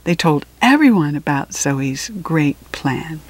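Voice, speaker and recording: Slow-paced woman reading a storybook. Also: deep voice, a little hiss or hum. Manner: unhurried, expressive phrasing with deliberate pauses. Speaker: storybook reader. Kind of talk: read-aloud of a children's picture book.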